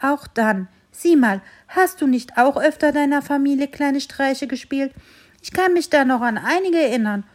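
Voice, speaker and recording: A woman voicing a small, kind and calm fir tree that gently talks a friend round, in a children's story. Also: high-pitched, normal speed, voice pushed up.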